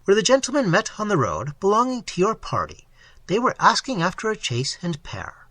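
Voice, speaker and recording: A man's voice: a little high, wildly swooping pitch, unhurried, sharp tone, husky, no room sound, exaggerated voice. A man voicing a bold, plain-spoken stranger posing as a farmer in an audiobook. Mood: feigned innocence. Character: bold disguised highway rescuer posing as a farmer. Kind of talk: audiobook character voice.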